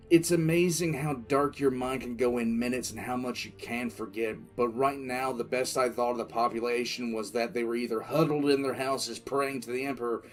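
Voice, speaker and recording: A man's voice: mid-range voice, lightly acted. Man acting a battle-weary commissar recounting war, over music. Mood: troubled, reflective. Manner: confiding, dramatic storytelling with pauses and sighs. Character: stern, battle-weary military commissar recounting an invasion crisis. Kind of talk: first-person audio drama narration. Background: music bed.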